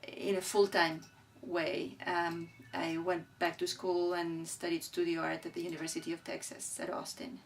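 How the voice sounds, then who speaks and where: Lower-pitched, thoughtful woman. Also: level tone, gravelly. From Argentina. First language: Spanish. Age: middle-aged. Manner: thoughtful, halting, searching for words. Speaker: artist being interviewed. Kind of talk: reflective interview talk about own work. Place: indoors beside a displayed print. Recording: distant mic, light background noise.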